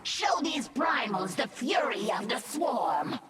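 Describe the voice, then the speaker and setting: A woman's voice: a little high, big pitch swings, slow. A woman playing a stern, vigilant alien swarm officer in a video game, giving clipped orders and reports. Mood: stern, urgent. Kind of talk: video game mission dialogue. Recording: loud music behind the voice.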